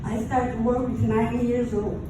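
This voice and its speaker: A woman from Brazil with a Brazilian Portuguese accent gives a personal testimony to an audience, haltingly and in non-native English. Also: a little high, level tone. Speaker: survivor giving testimony. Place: large echoey room, before an audience. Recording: very echoey room, distant mic, faint noise.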